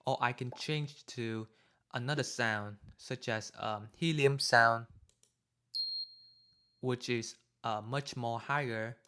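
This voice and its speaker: A man hosting a software tutorial, explaining each step in an unscripted, halting way. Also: medium pitch, steady tone, clear, resonant tone. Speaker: tutorial host.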